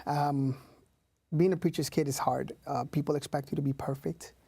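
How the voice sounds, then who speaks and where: A man's voice: on the high side, steady tone, moderate pace, creaky, warm tone, breathy edge, no room sound. A man in his thirties, born in Puerto Rico, talking casually as an interview guest with many fillers and restarts. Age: 30s. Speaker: youth leader.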